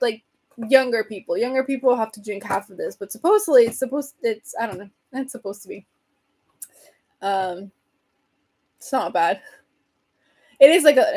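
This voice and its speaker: A woman hosting a casual livestream, rambling and answering viewer comments, her voice getting hoarse from talking so much. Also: mid-range voice, darkish tone. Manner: chatty, rambling, reads and answers comments, laughs often. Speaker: livestream host chatting with viewers.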